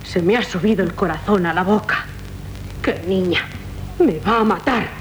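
An actress playing a bustling, teasing, protective nursemaid in Spanish radio theatre, wry and familiar, with a Castilian accent. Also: female voice, fairly low pitch, normal speed, lightly acted. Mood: fond, scolding. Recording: faintly noisy 1970s radio recording.

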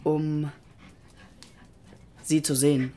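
A man's voice: fairly high pitch, flat delivery, drawn-out words, mellow. A male actor playing a blunt, bitter, estranged man in a German radio drama, curt and controlled. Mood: curt, subdued. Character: bitter, blunt man, estranged old friend grieving his brother. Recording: clear ambient noise behind voice.

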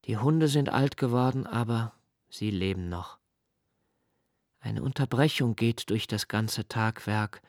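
An actor playing a brooding, intense male narrator in a literary radio drama, with halting, contemplative and emphatic phrasing. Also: fairly low pitch, no room sound, clean studio sound. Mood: quiet, contemplative. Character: brooding male narrator reciting a prodigal's homecoming, searching and intense.

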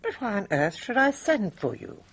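Composed British lady, husky, expressive. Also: medium pitch, moderate pace. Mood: politely dismissive. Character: composed, precise, brisk English lady bridge player. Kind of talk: radio drama acting.

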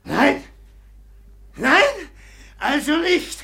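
A man's voice: very high-pitched, big pitch swings, drawn-out words, vocal fry, bright, forceful tone, slight background noise, exaggerated voice. An actor playing a drunken, boastful, volatile rich man in a German radio play, ranting repetitively and taunting. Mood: desperate, then jeering. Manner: slurred-drunk ranting, repeats words, bursts into laughter.

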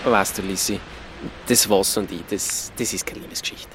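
A man's voice: low-pitched, fairly even intonation, moderate pace, a bit bright, a little hiss or hum. A man playing an easygoing, common-sense alpine nature boy with an Austrian accent in a German radio play, laconic and down-to-earth.

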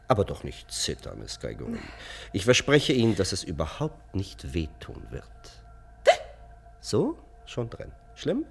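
Coaxing doctor, wildly varied intonation. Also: male voice, medium pitch, vocal fry, full character voice. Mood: coaxing, reassuring. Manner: coaxing, professional, jocular. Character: brisk male doctor, soothing patients, firmly insistent. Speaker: radio drama actor. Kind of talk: German science-fiction radio play acting. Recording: noisy old radio drama recording.

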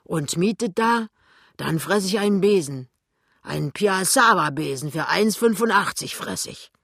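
A reader voicing a fat, blustering male shopkeeper in an audiobook, loud, colloquial and mocking. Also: medium pitch, expressive, a little slow, vocal fry, no echo, studio quality, heavily acted. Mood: scoffing. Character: fat, blustering, grumbling male soap-shop keeper.

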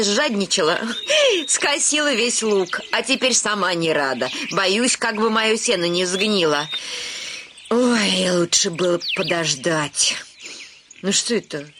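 High-voiced, headstrong country young lady. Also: a bit bright, slight background noise. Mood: chatty, welcoming. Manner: chattering, exclamatory, argumentative. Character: chatty, headstrong young landowner's daughter, quick to bristle. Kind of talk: theatrical radio comedy acting.

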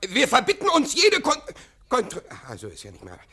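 Comic radio role: a dim, obsequious police clerk, sharp and husky, stuttering along. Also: male voice, very high-pitched, huge pitch swings, a little fast, full character voice. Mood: muddled, eager. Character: servile, dim, jittery police underling. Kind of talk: classic German radio comedy acting.

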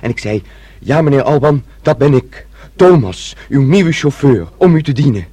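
A boyish young dreamer in a radio play, husky and mid-pitched. He is naive, excitable and keen to please. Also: moderate pace. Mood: dreamy, eager. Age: young adult. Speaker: actor. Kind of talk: radio drama acting.

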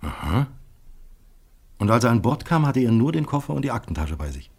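A man acting in a 1970s German radio crime drama as a shrewd, courteous Dutch police inspector, formal and measured. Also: mid-range voice, very animated, creaky, darkish tone, husky, full character voice. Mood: polite, official.

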